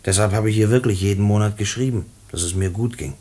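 An actor playing a condemned prisoner in a German radio play, earnest and stubborn, speaking haltingly and pleading, often repeating his words. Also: on the low side, mellow, natural voice. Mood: explaining, earnest.

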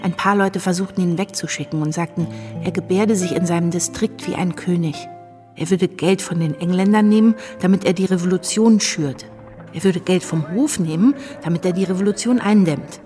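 A woman's voice: fairly low pitch, moderate pace, dark tone, faint music behind, close to an everyday voice. A woman playing an anxious, dutiful young wife, narrating her life confidingly in a radio drama. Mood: confiding, worried.

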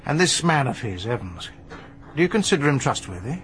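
A man's voice: mid-range voice, big pitch swings, fairly quick, lightly acted. An actor playing a polite, methodical male police inspector who questions witnesses in a radio drama. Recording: archive radio drama with light hiss.

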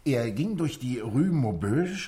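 A man's voice: mid-range voice, very animated, unhurried, dark tone. An actor playing an eager, deferential police sergeant in a radio crime drama, hesitant and bumbling as he reports. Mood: flustered, dutiful.

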